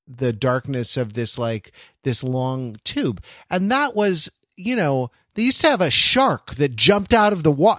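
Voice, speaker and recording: A man co-hosting a casual podcast, talking in halting phrases and repeating himself for emphasis. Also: mid-range voice, fairly bright tone, no echo, clean studio sound. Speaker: podcast co-host.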